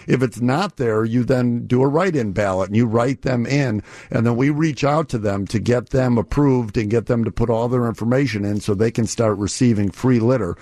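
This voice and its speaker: A man's voice: medium pitch, normal speed, hardly any pauses, dark tone, no room sound. Male, mid-range voice, company guest on radio, methodical explainer, dry sound. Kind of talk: promotional radio interview.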